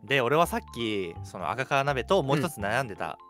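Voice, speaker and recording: A man's voice: medium pitch, sing-song, fairly quick, fairly bright tone, soft background music. A young man co-hosting a casual radio chat, talking in a playful, joking way. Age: young adult. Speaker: radio show co-host.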